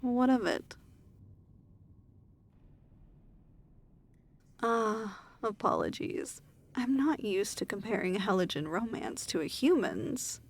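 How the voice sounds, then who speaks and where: A woman playing a weary, imperious demon lord in an ASMR fantasy roleplay, speaking formally and softly with measured diction. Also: mid-range voice, animated. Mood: wary, then guarded, softer.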